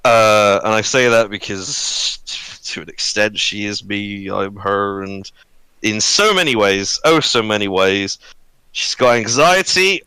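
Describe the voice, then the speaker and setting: A man in his early twenties chatting and telling personal stories on a podcast, halting, sweary and self-deprecating. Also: lowish voice, sharp tone, clean tone, no echo, poor audio. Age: early 20s. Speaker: podcast crew member. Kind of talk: casual podcast chat, personal storytelling. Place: online voice call recorded on own mic.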